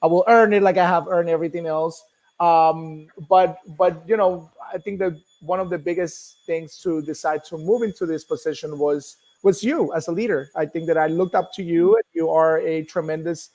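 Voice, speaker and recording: Male interview guest, middle-aged, Colombian accent, native Spanish speaker, slightly high register, conversational and talkative. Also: steady tone, normal speed, a little mellow, rough recording. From Bogota, Colombia. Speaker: guest, nonprofit executive. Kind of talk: casual interview, telling own story.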